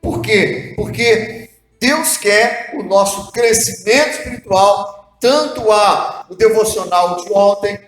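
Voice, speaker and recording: A man's voice: high voice, slow, a bit bright, roomy sound, faint music behind, poor audio. A man preaching a devotional sermon as pastor, earnest and asking the listener rhetorical questions. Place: church hall.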